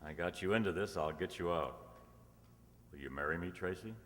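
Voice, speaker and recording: Man acting a very deep, earnest suitor. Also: a little fast, a bit bright, a little hiss or hum. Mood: earnest, hopeful. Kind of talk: radio play acting. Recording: stage hall reverb.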